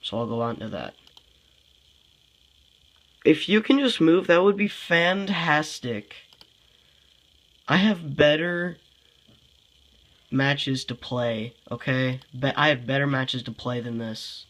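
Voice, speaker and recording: A congested young man talks through his game moves at an unhurried, drawn-out pace. He thinks aloud, sighs often and sounds fed up, with a pitch that sits in the middle. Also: expressive, a bit bright, low-quality recording. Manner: sighing, frustrated, thinking aloud. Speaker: gameplay commentator. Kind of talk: casual game commentary.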